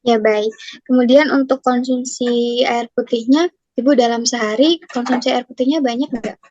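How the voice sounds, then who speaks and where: A woman's voice: fairly high pitch, steady tone, moderate pace, a little mellow, dry recording. Polite young woman, nutrition counselor. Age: young adult. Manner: polite, formal, asks questions step by step. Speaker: nutrition counselor in a class role-play. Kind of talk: scripted role-play consultation. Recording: dry, muffled lo-fi recording.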